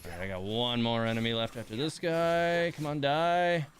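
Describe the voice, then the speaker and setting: A man's voice: medium pitch, fairly even intonation, moderate pace, clear, resonant tone. A man livestreaming a game, thinking aloud in casual commentary and muttering complaints and coaching to himself.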